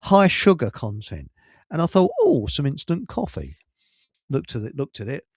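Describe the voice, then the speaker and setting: A man's voice: medium pitch, wildly swooping pitch, moderate pace, breathy edge, dry recording, clean studio sound. A man with an English accent hosting a radio show in casual, rambling chat, telling stories with colloquial asides and digressions.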